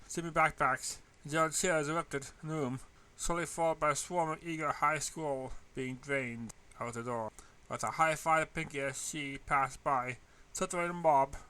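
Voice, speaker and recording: A man's voice: on the high side, pushed and bright, clean tone. A man reading a story aloud as scripted narration. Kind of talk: scripted narration, amateur fan fiction reading.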